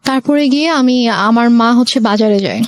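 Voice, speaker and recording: A woman's voice: a little high, normal speed, dry recording. A young Bangladeshi woman recounting her personal life story as an interview guest, mixing English words into her speech.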